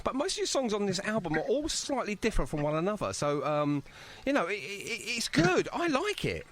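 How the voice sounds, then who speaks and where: A man's voice: high-pitched, huge pitch swings, fairly quick, fairly bright tone, a little hiss or hum. A man hosting a casual music interview podcast, chatty and self-deprecating in manner. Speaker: podcast host interviewing a band.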